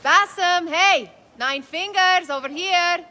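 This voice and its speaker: A woman's voice: very high-pitched, slow, sharp tone, faint music behind, exaggerated voice. A woman playing a cheeky young thief in a video game, teasing with playful sarcasm in a reverberant space. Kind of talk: video game character acting.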